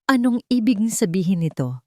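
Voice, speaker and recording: A woman's voice: mid-range voice, sing-song, moderate pace, dark tone, dry recording, clean studio sound, pitched above the performer's own voice, close to an everyday voice. A woman voicing a cold, commanding, jealous rich fiancée in an audiobook romance drama, firm and condescending. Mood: icy anger.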